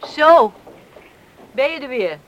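Farm mum in a radio drama, bossy n curt, voice moves up and down a lot. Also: female voice, high-pitched, expressive, highs cut off, slight background noise. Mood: curt but caring. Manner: brisk, authoritative, terse. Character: firm, no-nonsense farm mother of grown sons. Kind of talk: Dutch radio play acting.

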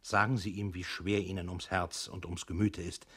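Very deep, husky male narrator. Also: little pitch movement, normal speed, a bit bright. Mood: sober recounting. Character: sober male narrator addressing a weary employee. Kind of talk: scripted radio play narration in second person.

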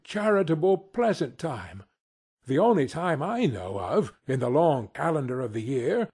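Good-natured young nephew, acted by a male reader: heartfelt storybook manner, unhurried, very animated, slightly rough-edged. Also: on the high side, full character voice.

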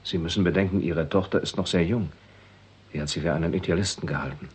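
Courteous visitor, low breathy-rough voice, measured, diplomatic, radio drama. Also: male voice, a little mellow. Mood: cautious, polite. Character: reserved, courteous male visitor, cautious. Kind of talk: 1960s German radio play acting.

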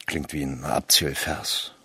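A very deep male voice, rough and husky, plays a loyal military officer. It is close, dry radio-play acting with a soldierly bearing. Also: little pitch movement, takes it slowly, sharp tone, dry recording, exaggerated voice. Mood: ominous, dismissive. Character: loyal soldierly colonel of the city guard.

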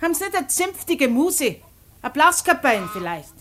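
A woman's voice: high-pitched, very animated, moderate pace, a bit bright. An actress playing a shrewd, suspicious country farm woman in a radio play, speaking blunt, earthy Bavarian dialect. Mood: grumbling. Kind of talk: post-war radio play acting. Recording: noisy radio play with farmyard poultry.

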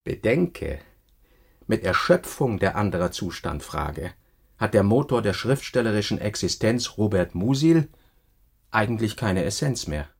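An actor playing a brooding, self-critical male novelist reading his working notes in a deliberate, fragmentary way for a radio play. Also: fairly low pitch, lively intonation, creaky, breathy edge, exaggerated voice. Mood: reflective.

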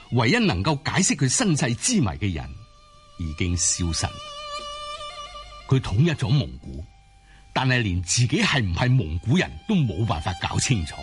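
A man with a Cantonese accent giving a measured, expressive scripted narration of a dramatic story. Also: mid-range voice. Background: music.

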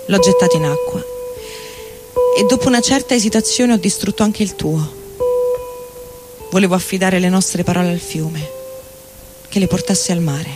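Woman, mid-pitched, solemn confessional reading, measured phrases, prominent guitar music. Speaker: reader. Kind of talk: dramatic literary monologue over music. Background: guitar and electronic loops.

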